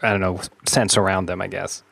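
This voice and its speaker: A man's voice: deep voice, level tone, brisk, gravelly, fairly bright tone, breathy edge, no echo. A man hosting an education podcast, asking rambling questions with restarts, then reading a promo. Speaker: podcast host and interviewer. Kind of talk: conversational interview, promo read.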